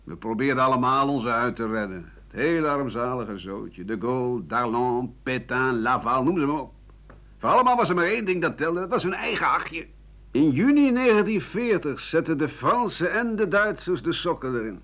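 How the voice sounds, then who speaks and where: An actor playing a bitter old French doctor-writer in a radio play, rambling through a sardonic, coarse, self-justifying monologue in a husky voice. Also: male voice, medium pitch, expressive, normal speed, a bit bright. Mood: bitter, scornful, then agitated, bitter. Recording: dull lo-fi 1980 radio recording.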